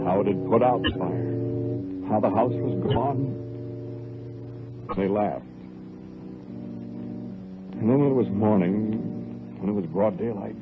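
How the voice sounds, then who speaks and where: A man narrating a 1940s radio horror drama in the first person, intense in the chase and hushed afterwards. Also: on the low side. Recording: tinny old radio broadcast with music.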